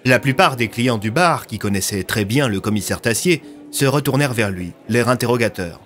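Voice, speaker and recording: A young man narrating scripted audio fiction as a storyteller, with soft music behind him. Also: mid-range voice, expressive, moderate pace. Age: young adult.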